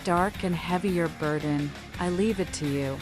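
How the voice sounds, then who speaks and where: A woman with a slightly low voice narrates a video game. She slips into theatrical, cryptic role-play, with game music clearly audible behind her. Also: normal speed. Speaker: gaming creator voicing her player character. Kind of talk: gameplay narration with cryptic in-character lines.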